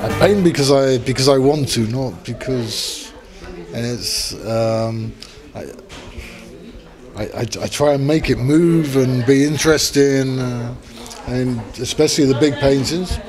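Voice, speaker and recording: A man's voice: mid-range voice, unhurried, darkish tone, soft background music. A man with a mid-range voice talks earnestly about his large paintings. He stumbles over his words at first before settling into his point. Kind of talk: interview answers.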